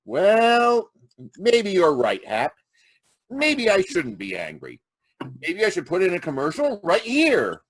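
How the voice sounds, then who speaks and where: High, swooping, theatrical pitchman acted by a man. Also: wildly swooping pitch, takes it slowly, no room sound, exaggerated voice. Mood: grudging, relenting. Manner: melodramatic, pompous. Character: sulky, theatrical male battery pitchman, wounded artiste. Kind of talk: 1940s radio comic commercial acting.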